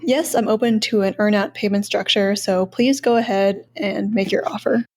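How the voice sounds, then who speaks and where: A woman's voice: medium pitch, moderate pace, a little mellow. Earnest woman, business seller, methodical and proud. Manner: earnest, organized, lists points in order; self-promoting, proud of her work. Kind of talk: podcast interview answers.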